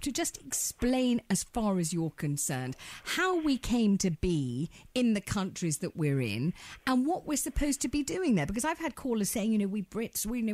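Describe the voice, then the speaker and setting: A woman's voice: mid-range voice, a little mellow, dry recording. A British woman hosting a live radio phone-in, questioning in a probing, challenging manner. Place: radio studio.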